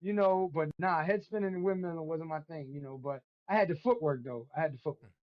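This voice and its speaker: Animated New man in his fifties. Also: fairly high pitch, fast talker, highs cut off. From Brooklyn, New York. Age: 50s. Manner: animated storyteller, street slang. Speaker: guest DJ. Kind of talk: casual podcast chat.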